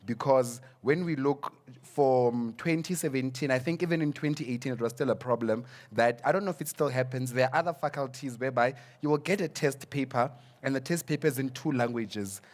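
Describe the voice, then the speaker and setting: A man's voice: medium pitch, fairly quick, few pauses. A young South African man, a psychology student, speaking earnestly on a panel, repeating words as he searches for them. English is not his first language. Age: young adult. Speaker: student representative. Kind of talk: panel discussion.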